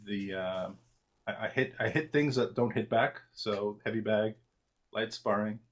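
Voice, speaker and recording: Low-voiced man in his forties. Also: measured pace. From Argentina. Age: 40s. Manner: relaxed, self-deprecating, frequent fillers and restarts. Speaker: trader, interview guest. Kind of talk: casual interview answers.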